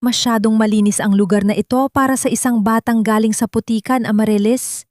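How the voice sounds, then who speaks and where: A woman's voice: fairly high pitch, steady tone, a little fast, darkish tone, dry recording, studio quality, natural voice. A woman voicing a menacing male blackmailer with a controlled, threatening manner in an audio drama. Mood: sinister. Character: menacing male villain, cold scheming blackmailer.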